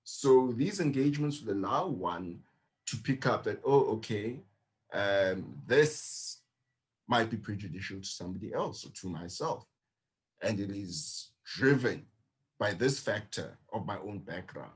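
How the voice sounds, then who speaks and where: A male lecturer giving reflective talk in a measured, earnest way, with slow emphatic phrases. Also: lowish voice, lively intonation.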